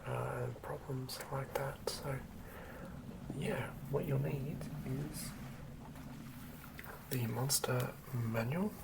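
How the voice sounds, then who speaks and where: ASMR host, breathy whisper, very unhurried, gravelly fry, gentle delivery for tabletop fantasy. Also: medium pitch, very noisy. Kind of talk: whispered ASMR, chatting and reading aloud from a game manual.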